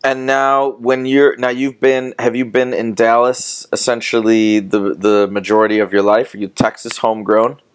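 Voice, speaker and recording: A man's voice: medium pitch, level tone, moderate pace, hardly any pauses, clean tone. A man co-hosting a podcast, opening the episode and asking the guest questions in an upbeat, joking way. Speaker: podcast co-host. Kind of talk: casual interview chat.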